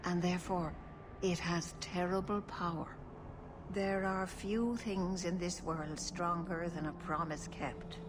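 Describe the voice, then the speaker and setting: An actress playing a wise, solemn elven clan keeper in a fantasy video game, speaking in a measured, formal way. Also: female voice, fairly low pitch, steady tone, noisy background. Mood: grave, measured. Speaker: clan leader and spiritual guide.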